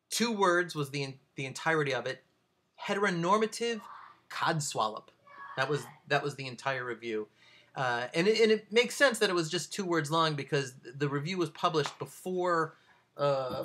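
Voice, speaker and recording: A man with a fairly high, forceful, bright voice talks casually as an author. His humour is dry and self-deprecating, kept deadpan. He moves along haltingly and tends to digress. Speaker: author reading a bad review of their own book. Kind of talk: casual video monologue with read-out excerpts.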